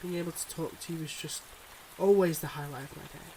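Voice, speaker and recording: Man playing a tender, guarded mob boss boyfriend, higher-pitched. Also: steady tone, warm tone, a little hiss or hum, natural voice, low-quality recording. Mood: bittersweet, devoted. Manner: earnest, measured, halting confessions. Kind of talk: ASMR boyfriend roleplay, intimate monologue.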